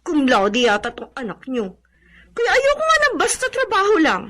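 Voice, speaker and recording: A young Filipino woman, very high in pitch and swooping freely up and down. She is a proud, picky radio-drama graduate who whines and sulks dramatically. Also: huge pitch swings, normal speed, a bit bright, full character voice. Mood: proud, lazy. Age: young adult. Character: sulky, proud young female college graduate, picky jobseeker.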